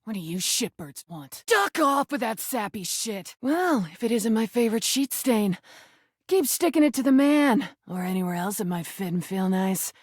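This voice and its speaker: A woman's voice: mid-range voice, lively intonation, moderate pace, breathy edge, no echo, clean studio sound. A woman voicing a cocky, foul-mouthed rubber duck in a video game, brash, mocking and sarcastic. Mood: irritated, mocking. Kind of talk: video game character voice acting.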